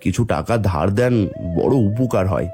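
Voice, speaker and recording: A man plays a poor, sorrowful cartoon villager and father. His low, dark-toned voice is humble and pleading, deferring to others. Faint music sits underneath. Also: lively intonation, normal speed. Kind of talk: Bengali children's cartoon voice acting.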